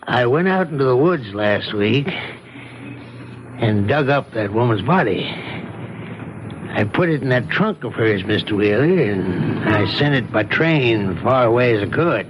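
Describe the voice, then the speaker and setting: A man's voice: mid-range voice, lively intonation, lightly acted. An American man acting in a 1970s radio drama as a simple, deferential small-town taxi driver who speaks hesitantly and plainly. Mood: proud, confiding. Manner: slow, hesitant, plain-spoken. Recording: hissy 1970s radio broadcast.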